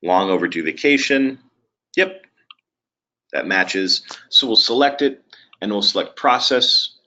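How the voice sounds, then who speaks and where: A man presenting a software webinar walkthrough in a conversational, halting way with frequent pauses. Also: fairly low pitch, lively intonation. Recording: dull, lo-fi webinar audio.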